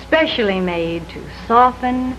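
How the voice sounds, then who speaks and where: A woman's voice: on the high side, a little slow. A woman giving a scripted product demonstration in the style of a 1950s television advertisement. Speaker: commercial presenter. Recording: muffled 1950s TV, faint noise.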